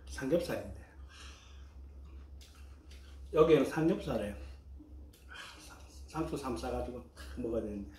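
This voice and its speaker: A man's voice: mid-range voice, dark tone. A middle-aged man giving casual commentary while eating at home, in short remarks between bites. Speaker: mukbang vlogger. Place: small room at home, indoors. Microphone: camera across the dining table. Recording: echoey room, faint background noise.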